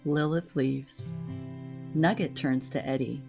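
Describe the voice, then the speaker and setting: A woman's voice: very deep voice, fairly even intonation, slow, creaky. Woman narrating over music. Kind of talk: scripted radio play narration. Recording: music behind the voice.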